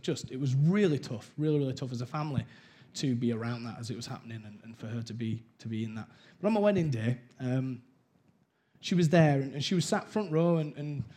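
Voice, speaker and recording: A man's voice: mid-range voice, a little mellow. A man from Wales giving a personal testimony at church, telling his story candidly, acting out quotes and laughing at himself. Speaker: church speaker.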